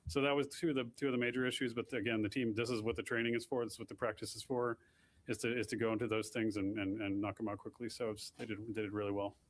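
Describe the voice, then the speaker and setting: A man's voice: fairly low pitch, flat delivery, fast, poor audio. A man explaining technical launch issues at a press conference, in plain, technical answers with frequent restarts.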